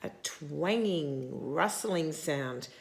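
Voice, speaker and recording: A woman in her sixties reads a picture book aloud to young children in an expressive, deliberate way, stopping to put questions to them. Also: low-pitched, creaky, a little hiss or hum. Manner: deliberate, animated, asking questions of young listeners. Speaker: storytime host reading a picture book to children. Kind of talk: expressive children's read-aloud with asides to the listener.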